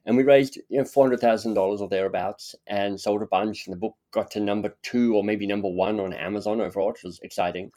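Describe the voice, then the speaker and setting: Male podcast host, lowish voice, relaxed and chatty. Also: fast talker, hardly any pauses. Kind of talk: casual conversation.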